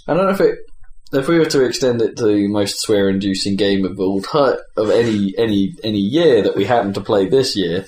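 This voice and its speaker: A man's voice: lowish voice, expressive, fairly quick, hardly any pauses, darkish tone. A man hosting a casual gaming podcast, talking in an informal, sweary way. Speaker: podcast host. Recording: roomy echo, faint background noise.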